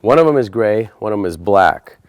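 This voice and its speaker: A man's voice: lowish voice, animated, a little fast, clear, resonant tone, no echo. A man presenting a how-to installation tutorial, explaining each step in a plain, methodical way. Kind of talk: step-by-step instructional narration.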